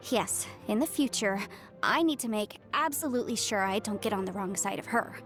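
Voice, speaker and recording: A young woman voicing a confident, studious female legal adviser in a video game, articulate, expressive and self-assured. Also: medium pitch, a little fast, faint music behind, natural voice. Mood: puzzled, suspicious. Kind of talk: scripted video game character voice lines.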